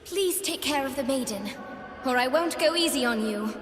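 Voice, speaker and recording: A woman playing a young doll-like champion in a video game, gentle but resolute, speaking with earnest precision. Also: high voice, animated, normal speed. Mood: firm, challenging. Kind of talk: video game character voice lines. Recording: long reverb, music underneath.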